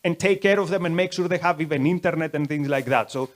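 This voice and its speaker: A man's voice: on the high side, fast talker. A man leading a conversational lecture, taking questions from the audience in a museum hall. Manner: conversational, uses many "like" asides.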